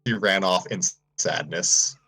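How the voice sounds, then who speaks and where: A man's voice: low-pitched, slow pace, gravelly, sharp tone, faint music behind. A man reading fan fiction aloud on a live stream, breaking off for comic asides.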